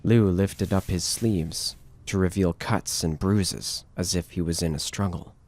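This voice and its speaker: A man's voice: low voice, fairly even intonation, unhurried, darkish tone. A man reading scripted narration for a horror audio drama.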